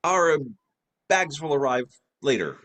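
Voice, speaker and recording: A man's voice: on the high side, big pitch swings, measured pace, a bit bright. A man playing a wry, teasing husband and witty sleuth in an old-time radio mystery, with a dry, playful manner. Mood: flustered, impatient.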